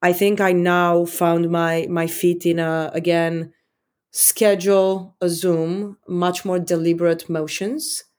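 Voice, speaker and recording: A woman's voice: lowish voice, level tone, slow pace, a little mellow. A woman in her forties, from Romania, speaking English as a second language as an interview guest, thoughtful and repeating words with many fillers. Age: 40s. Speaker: company leader, guest. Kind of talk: interview conversation.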